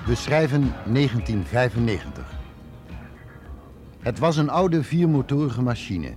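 A man's voice: mid-range voice, very animated, unhurried, over music. A man reading scripted narration for a radio drama.

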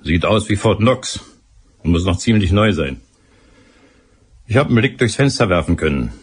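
An actor playing a gruff, dutiful police sergeant in a German radio drama, reporting bluntly and dryly in a husky voice. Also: male voice, sits low, lively intonation, moderate pace. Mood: matter-of-fact.

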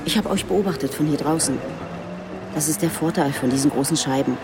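A female detective around forty in a radio drama. She is probing and confrontational, with a fairly low voice. Noticeable ambient noise runs behind her. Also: brisk. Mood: stern. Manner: confrontational, insistent questioning.

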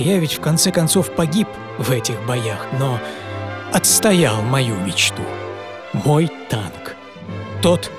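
Male radio-play lead: an actor as a brooding, stubborn Soviet designer, confiding and intense, slightly high voice, music underneath. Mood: proud, solemn. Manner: intense, confiding, dropping to near-whisper. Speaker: narrator and author of radio programme. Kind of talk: dramatic first-person radio monologue.

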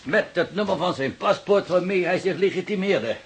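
An actor playing an obliging, fussily precise antique dealer in a radio play, formal and eager to seem honest. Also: male voice, high voice, normal speed. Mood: defensive. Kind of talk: Dutch radio play acting. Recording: very noisy, clicking audio.

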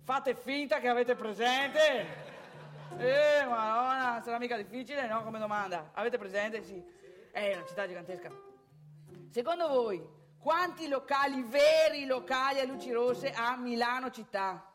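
A woman playing an excitable, crude, boastful provincial man in a live comic theatre monologue, repetitive and chatty. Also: a little high, measured pace, soft background music, lightly acted. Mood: chummy, boastful. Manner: repetitive, emphatic, chatty, addressing listeners directly. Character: excitable, crude, boastful male strip-club regular from provincial northeast Italy.

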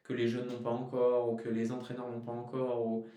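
Distant, mellow male podcast host. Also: mid-range voice, monotone, a little fast. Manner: rambling, tentative, long-winded questions. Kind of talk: casual conversational interviewing. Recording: echoey, distant from the microphone.

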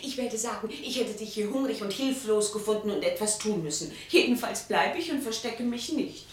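An actress in a German radio drama playing an anxious young mistress, earnest and intense. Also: female voice, mid-range voice, normal speed, slight background noise, close to an everyday voice. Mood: composed.

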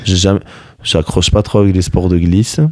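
A man's voice: sits low, level tone, moderate pace, darkish tone, dry recording. A young man whose first language is Basque, a rugby player answering interview questions in a casual, hesitant way with many fillers.

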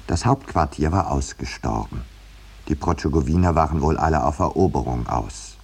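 An actor playing a wry, peace-loving landscape painter who narrates drily in a 1950s German radio play. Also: male voice, very deep voice, lively intonation, moderate pace, creaky, a little mellow, slightly rough, exaggerated voice. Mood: wry, calm. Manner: dry, ironic, composed, articulate. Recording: 1950s radio drama production.

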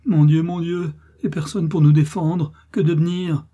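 A man's voice: mid-range voice, expressive, normal speed, mellow, husky, highs cut off, voice pushed up. Man voicing a terrified, pleading peasant mother. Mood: panicked. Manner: trembling, imploring exclamations.

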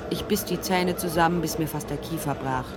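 A woman's voice: low-pitched, fairly quick, over loud music, lightly acted. An actress playing a tough, sardonic female private detective in a radio drama, dialogue and first-person narration, dry and wry. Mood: tense, dry narration. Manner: dry, wry, hard-boiled.

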